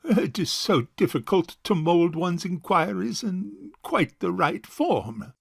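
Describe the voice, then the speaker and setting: An actor playing a fussy, miserly rich old bachelor uncle in a comic farce, speaking in a pompous, hesitant, formal way. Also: male voice, high voice, very animated, slow pace, creaky, breathy edge, no room sound, studio quality, full character voice. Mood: pompous, awkward. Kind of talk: comic stage farce acting.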